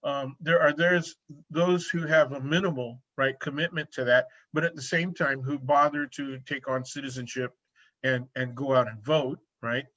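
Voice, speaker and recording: A man's voice: medium pitch, steady tone, normal speed, fairly bright tone, muffled recording. A man being interviewed as a professor, explaining in a casual way and often ending points with "right?". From United States. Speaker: professor guest. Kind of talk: conversational interview.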